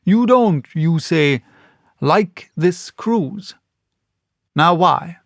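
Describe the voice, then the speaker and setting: A composed, courteous doctor, voiced by a male storyteller, reasoning firmly and asking probing questions. The voice sits slightly high and the sound is very dry. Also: lively intonation, drawn-out words, dry recording. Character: composed, reasoning gentleman doctor, firm peacemaker. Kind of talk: audiobook character reading.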